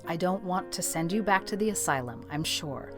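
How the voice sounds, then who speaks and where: A stern older guardian acted by a woman in an audiobook drama, with a fairly low voice. She is brisk, practical and scolding, no-nonsense but caring, with soft music behind her. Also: expressive, lightly acted. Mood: brusque reassurance. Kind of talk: audiobook drama character acting.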